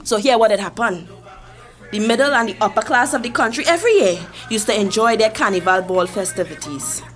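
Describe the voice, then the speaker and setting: A proud older Trinidadian matriarch holds the floor, as an actress plays her, recounting history with fierce conviction. Her voice sits a little high. Music plays under the radio-drama scene. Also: expressive, a little fast, lightly acted. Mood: impassioned. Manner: storytelling, impassioned, preaching history. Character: Mavis, older community matriarch, proud and forceful. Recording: music behind the voice.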